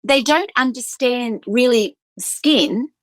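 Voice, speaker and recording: A woman's voice: fairly high pitch, takes it slowly, no room sound. A woman esthetician hosting a podcast, talking with emphatic passion and doubling up words as she presses her points. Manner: emphatic, fired-up, repeats words when stressing a point.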